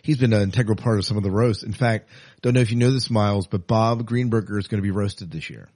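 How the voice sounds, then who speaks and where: A man's voice: fairly low pitch, quick pace, darkish tone. A man hosting a podcast in a casual, chatty style. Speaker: podcast host.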